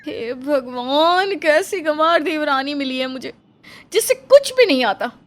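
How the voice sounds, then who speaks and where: An exasperated, bossy city sister-in-law, played by a woman in a cartoon family drama. Her high voice swings sharply as she scolds with scornful emphasis, over faint noise. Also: animated, measured pace. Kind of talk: animated Hindi family story acting.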